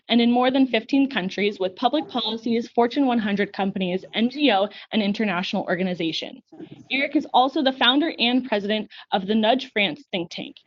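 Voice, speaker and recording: Young woman moderating a webinar. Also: on the high side. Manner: clear, formal, steady reading. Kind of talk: reading a scripted webinar introduction. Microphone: video call microphone. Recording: slightly dull lo-fi online call audio.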